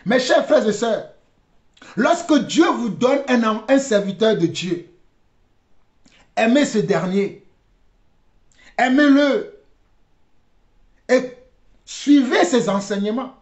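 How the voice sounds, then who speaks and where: A man giving religious commentary in a deliberate, halting way, repeating phrases for emphasis. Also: very high voice, lively intonation. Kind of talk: spoken commentary with preaching. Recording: dull, roomy, echoing recording.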